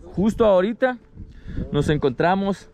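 A young man from Latin America excitedly vlogging his travels, talking to viewers as friends on an action camera held at arm's length. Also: sits high, unhurried. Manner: enthusiastic, exclaiming, addressing viewers as friends. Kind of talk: casual travel vlog. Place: cable car and mountain-top terrace, indoors and outdoors. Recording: music playing under the voice.

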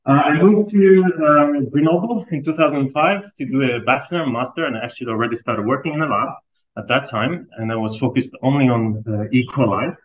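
A man's voice: mid-range voice, a little fast, dull audio. A man in his thirties from Palestine giving a university seminar to students and postdocs, talking loosely with fillers and restarts. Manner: conversational, with frequent fillers and restarts. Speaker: research group leader giving a seminar. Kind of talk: academic seminar talk. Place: lecture room.

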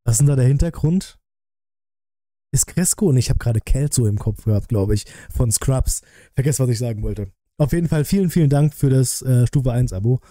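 A man's voice: mid-range voice, lively intonation, fairly quick, warm tone, no room sound. A man chatting casually to his viewers in live-stream commentary, close on a desk microphone. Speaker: streamer reacting to a film review. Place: small room at a streaming setup. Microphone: close desk microphone, headset worn.

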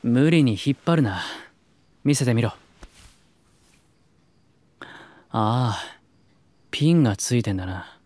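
An actor playing a cool, blunt but secretly tender young warrior priest in a Japanese drama CD. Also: male voice, medium pitch, expressive, slow, pauses often, no echo. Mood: matter-of-fact. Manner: gruff wording, understated, soft-spoken tenderness.

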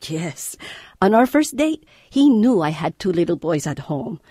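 A woman's voice: lowish voice, sing-song, a little slow, warm tone, husky, dry recording, studio quality, heavily acted. An actress playing a loving, worried mother of teenage sons in a radio drama. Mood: fond, reminiscing.

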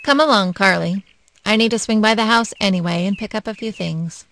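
A woman's voice: mid-range voice, brisk, fairly bright tone, a little hiss or hum, close to an everyday voice. A woman acting a harried, defensive mother in an audio drama. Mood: curt, stressed. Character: harried, defensive mother juggling work and child.

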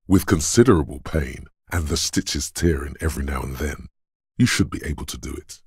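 An actor playing the grave, commanding inner voice of a battered detective's body in a video game, gravelly and husky, speaking with solemn, portentous deliberation. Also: male voice, very deep voice, dry recording, studio quality, full character voice. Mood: grim, insistent. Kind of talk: solemn dramatic game narration.

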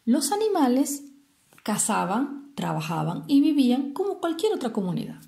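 A woman from Venezuela with a Venezuelan Spanish accent, telling a traditional tale expressively and explaining things as she goes, with deliberate pauses. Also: sits high, big pitch swings, gravelly, dark tone. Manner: didactic, explains terms with rhetorical questions and pauses. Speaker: storyteller.

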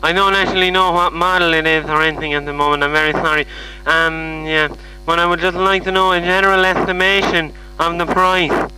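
Male performer as befuddled, apologetic Irish prank-call character, hard of hearing, piercingly bright, faint hum on the line. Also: a little high, level tone, fairly quick. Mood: apologetic, muddled. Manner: muddled, hesitant, repetitive. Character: confused, apologetic hard-of-hearing man of forty-two. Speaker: prank caller. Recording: humming phone call recording.

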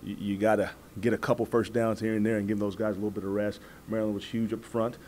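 An American man in his forties, a football coach answering press questions in a measured, halting way, repeating words as he goes. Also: on the low side, level tone, a little mellow, a little hiss or hum. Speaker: college football head coach. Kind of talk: postgame press conference answers. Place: indoor press room at a table before a backdrop. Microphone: several press microphones on the table.

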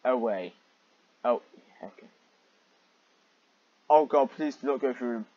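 A man with a mid-range voice reads fan fiction aloud and comments on it with weary sarcasm. He clears his throat often between phrases. The recording is dull and slightly muffled, like a cheap microphone. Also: mid-range voice, slow pace, no echo. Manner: exasperated, sarcastic, sighing asides. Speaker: host and reader of fan fiction.

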